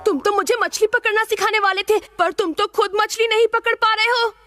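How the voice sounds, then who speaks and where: Woman voicing a cocky cartoon schoolboy, very high boyish voice, music bed behind. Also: steady tone, brisk. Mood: teasing. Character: Kenichi, boastful young schoolboy. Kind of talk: cartoon dub acting.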